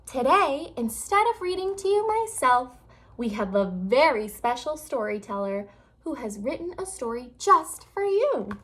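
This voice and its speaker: A woman's voice: high-pitched, very animated, unhurried, a bit bright, exaggerated voice. A woman voicing a welcoming storybook librarian who addresses children in a formal announcing manner. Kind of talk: storybook character voice.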